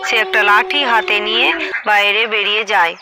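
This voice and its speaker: A woman's voice: mid-range voice, steady tone, a little fast, noisy background. A woman narrating a scripted horror story for a cartoon. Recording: loud background music.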